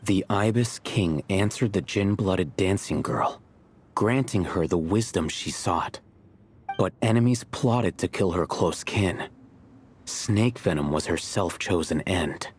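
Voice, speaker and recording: An actor playing a calm, rational, aloof young male scholar in a video game, measured and matter-of-fact. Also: sits low, slight background noise. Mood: studious.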